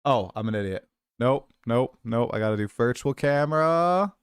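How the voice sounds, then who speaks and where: A man's voice: mid-range voice, lively intonation, moderate pace, clear, resonant tone, no room sound, studio quality. A man in his late twenties hosting a casual livestream, chatty and self-deprecating, coming down with a cold. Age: 20s. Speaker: streamer host.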